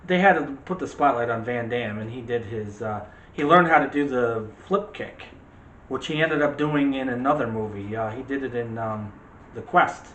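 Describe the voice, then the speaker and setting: A man's voice: mid-range voice, moderate pace, a bit bright. Male host for casual movie talk, rambling and self-correcting, recorded in a reverberant room. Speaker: host of a film discussion show. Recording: roomy echo with faint background noise.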